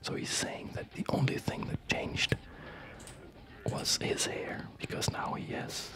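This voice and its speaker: A man speaking in a breathy ASMR whisper, explaining things casually in a halting, digressive way. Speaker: ASMR video host.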